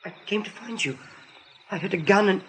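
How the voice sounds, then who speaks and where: A woman's voice: mid-range voice, a little fast, slight background noise, lightly acted. A woman voicing a small, sensitive young male rabbit, hesitant and plaintive, in a radio play. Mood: anxious.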